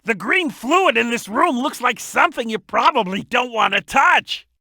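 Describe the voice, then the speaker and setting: A woman voicing an excitable, cheeky little boy animal sidekick in a video game, with a childlike voice and eager, emphatic delivery. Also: a little high, normal speed, dry recording, clean studio sound, exaggerated voice. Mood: eager, instructive. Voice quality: youthful, childlike. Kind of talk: video game character hint lines.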